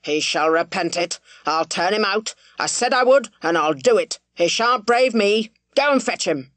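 A woman's voice: mid-range voice, brisk, no room sound, natural voice. Woman voicing an irate old squire. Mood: furious. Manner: shouting, scolding. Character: angry older country squire, ponderous, blustering.

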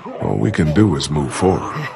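An actor playing a weary, steady bitten survivor in a zombie video game, speaking in a subdued, measured way. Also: male voice, low voice, creaky, background noise.

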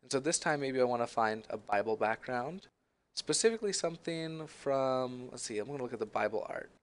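A young man presenting a screen-recorded software tutorial, explaining each step in a friendly, conversational way. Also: mid-range voice, lively intonation, brisk.

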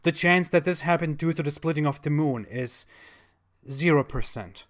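A man's voice: on the high side, moderate pace, fairly bright tone. A man delivering sceptical explanatory commentary in a dismissive, matter-of-fact manner.

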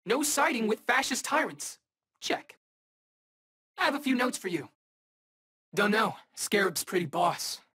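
A man's voice: sits high, big pitch swings, unhurried, pauses often, pushed and bright, husky, full character voice. A man voicing a cocky, wisecracking eighteen-year-old superhero in a video game, talking in slangy battle quips. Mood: cocky, teasing. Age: young adult.

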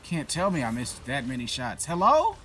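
A man's voice: medium pitch, wildly swooping pitch, moderate pace, fairly bright tone. A man giving casual, rambling commentary while playing a shooter game, cursing and joking at himself. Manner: rambling, self-mocking, swears and vents at the game. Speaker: gaming channel host.

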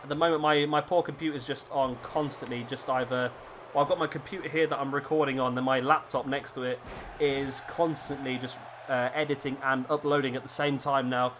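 A man commentating a wrestling video game play-by-play, a little hoarse, chatty between moves and excited on the pins. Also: mid-range voice, fairly quick, noisy background. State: slightly hoarse, losing his voice.

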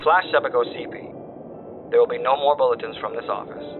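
A man's voice: medium pitch, fast, creaky, background noise. A man playing a grave wire reporter of doom, reading dramatic news bulletins in a horror audio drama through a radio-like filter. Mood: resigned dread. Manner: solemn, dramatic emphasis. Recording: thin, phone-like radio transmission.

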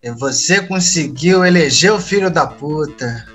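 A man's voice: a little high, expressive, measured pace, a bit bright, soft background music. A man playing an irreverent, sardonic crony in an audio drama.

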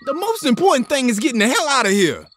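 A blunt, teasing teenage boy whose voice swings wildly in pitch. Faint music sits underneath. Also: very animated, fast, fairly bright tone, heavily acted. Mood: mocking. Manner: blunt, mocking. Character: student Mike, brash teenage boy. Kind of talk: audio drama acting.

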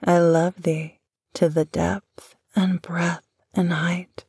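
A woman in a close-miked audio roleplay, speaking gently and affectionately with dry amusement, as a voice actor addressing a loved one. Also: on the low side, level tone, slow pace, gravelly, slightly rough, dry recording.

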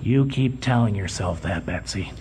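An actor playing a fussy, condescending middle-aged museum boss in a comedic audio drama, with a pompous, stammering delivery. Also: male voice, on the low side, lively intonation, moderate pace, noisy background, close to an everyday voice. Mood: patronizing. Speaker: museum supervisor.

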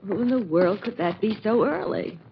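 An actress playing a wry, spirited young sleuth's companion in 1940s radio drama, teasing and expressive. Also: female voice, mid-range voice, moderate pace, creaky. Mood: exasperated. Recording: muffled 1940s radio with hiss.

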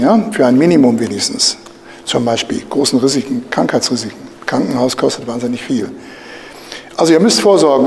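Older guy at a lectern, gravelly voice, ranting a bit, lots of filler, mic on a stand, faint hiss. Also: on the high side, darkish tone. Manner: opinionated, polemical, with frequent filler "äh" and "ja?". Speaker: lecturer. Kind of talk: lecture from a lectern. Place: bright lecture room, indoors. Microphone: studio mic on a stand at a lectern. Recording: reverberant room, light hiss.

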